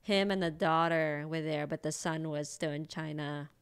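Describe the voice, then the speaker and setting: A woman talks loosely on a podcast. She rambles along, full of fillers and small laughs, in a smooth, resonant voice pitched on the low side. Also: fairly even intonation, normal speed. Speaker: acupuncturist podcast co-host. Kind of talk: casual chat.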